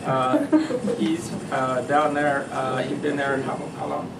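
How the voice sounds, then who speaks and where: A man introducing a guest speaker at an event, halting with many fillers. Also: fairly high pitch, sing-song, vocal fry. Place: meeting room with audience. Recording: roomy, distant mic, background noise.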